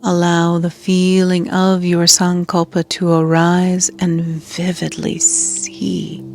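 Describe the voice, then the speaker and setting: A woman leading a guided meditation as a yoga nidra guide, soothing and hushed, with soft breathy edges that fall near a whisper and long pauses. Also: lowish voice, completely flat delivery, faint music behind.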